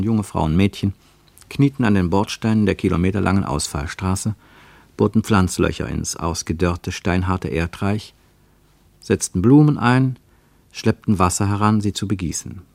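An actor as the narrator of a literary radio play, telling a travel story in measured, rhythmic phrases. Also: male voice, fairly low pitch, vocal fry, darkish tone, no echo.

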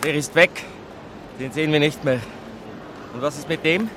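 Man playing officious cop, slightly high voice, dry, formal, sardonic, radio drama, outdoor noise. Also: moderate pace, natural voice. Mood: unmoved. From Switzerland. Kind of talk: audio drama acting. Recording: noisy outdoor ambience.

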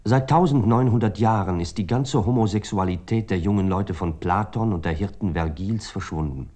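An actor plays a grave man of letters. His voice is rather low and very dark, soft-edged in tone. He sets out severe convictions in formal, solemn statements, as a scripted literary reading for radio. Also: moderate pace, natural voice. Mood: solemn, stern. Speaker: reader of quoted writings.

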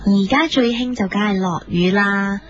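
A woman's voice: mid-range voice, moderate pace, lightly acted. A woman playing an empty-headed female celebrity guest in a comedy interview, explaining simple things earnestly and repetitively. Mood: earnest, airy. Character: vacuous female celebrity guest giving empty expert opinions. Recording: dull lo-fi audio with music.

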